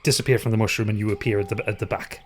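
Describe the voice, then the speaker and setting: A man narrating a tabletop game as game master, with quick, animated delivery. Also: lowish voice, faint music behind.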